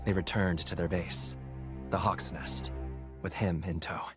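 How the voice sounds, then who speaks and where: A man playing the solemn storyteller of a dark fantasy game, reading scripted narration deliberately. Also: sits low, steady tone, over music. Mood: solemn, ominous.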